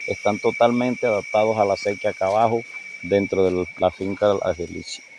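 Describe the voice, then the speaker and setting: Low man, noisy outdoor recording. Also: steady tone, brisk. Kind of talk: informal on-site commentary.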